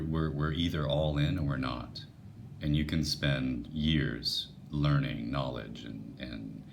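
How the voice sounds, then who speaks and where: A man with an unusually deep, radio-like voice, talking earnestly and musing to his viewers about faith, with frequent restarts. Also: a little hiss or hum. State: voice lowered by a passing illness. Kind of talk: unscripted devotional talk to viewers.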